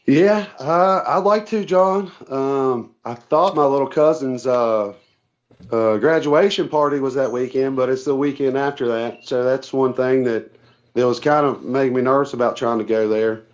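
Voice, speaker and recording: Low-key man on a dull phone call. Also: moderate pace. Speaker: guest, tournament kayak angler. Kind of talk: casual phone interview. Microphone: remote phone call. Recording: dull, band-limited phone call audio.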